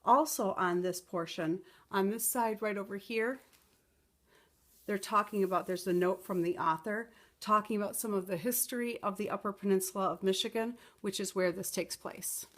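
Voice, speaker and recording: A woman reading a children's picture book aloud as a storytime teacher, expressive and explanatory. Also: medium pitch, normal speed.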